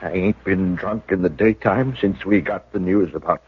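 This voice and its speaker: An actor playing a wounded, stoic homesteader who speaks in a rough rural drawl. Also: male voice, deep voice, normal speed. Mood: grim. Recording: tinny vintage radio broadcast.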